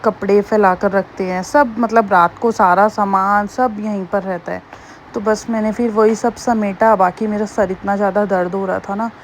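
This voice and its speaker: A woman in her thirties with a slightly hoarse voice, chatting homely and rambling through her daily vlog. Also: mid-range voice, fairly even intonation, moderate pace, a little hiss or hum. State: hoarse, slightly sore throat. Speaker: vlogger. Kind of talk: casual daily-life vlog narration.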